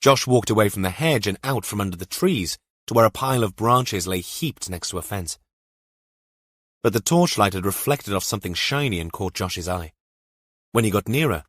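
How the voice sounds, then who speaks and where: Male narrator for thriller fiction, low register, close dry recording. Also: husky, dry recording. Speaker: audiobook narrator.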